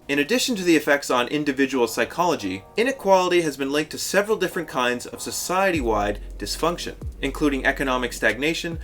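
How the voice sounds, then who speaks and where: A man narrates a scripted video essay in a bright, forceful voice. His delivery is wry and sarcastic, at a middling pitch. Soft guitar music plays faintly behind him.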